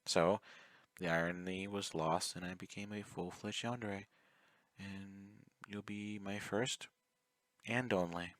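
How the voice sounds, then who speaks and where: Casting: male actor as obsessive yandere stalker for ASMR roleplay; low, close and dry, coaxing, politely menacing. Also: steady tone, takes it slowly, fairly bright tone, dry recording. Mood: confessional, adoring. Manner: intimate, coaxing, courteous while threatening. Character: obsessive, clingy, soft-spoken young male yandere fan, politely menacing.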